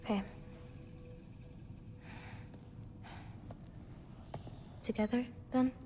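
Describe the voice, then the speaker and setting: An actress playing a cautious, caring teenage girl in a video game, speaking in a measured, earnest and determined way. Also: fairly high pitch, fairly even intonation, warm tone, long reverb tail, soft background music, close to an everyday voice. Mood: gentle. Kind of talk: naturalistic video game acting.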